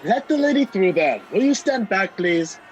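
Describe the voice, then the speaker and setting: A man acting a gallant, puzzled gentleman in a radio play, courteous and questioning as he takes charge. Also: high voice, big pitch swings, normal speed, highs cut off. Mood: commanding, reassuring. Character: gallant, puzzled gentleman helping a frightened stranger. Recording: video call with faint background noise.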